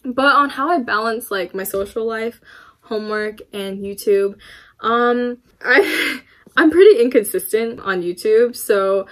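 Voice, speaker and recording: This is a young woman of eighteen chatting to the camera in her bedroom. Her voice sits a little high, and she rambles, dropping in many fillers and small laughs as she answers viewer questions. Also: unhurried. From United States. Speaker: YouTuber. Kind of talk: casual Q&A vlog to camera. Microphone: camera in front of her, laptop beside it.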